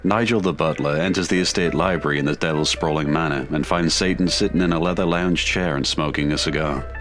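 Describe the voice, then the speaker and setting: A man's voice: extremely low pitch, a little fast. A man narrating a scripted drama, reading scene descriptions as a storyteller. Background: loud music.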